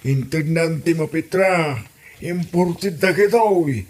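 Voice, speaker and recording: A man's voice: a little high, slow, a little mellow. A man playing a good-natured, easygoing old father in a comedy audio drama. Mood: delighted. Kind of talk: comedy radio drama acting.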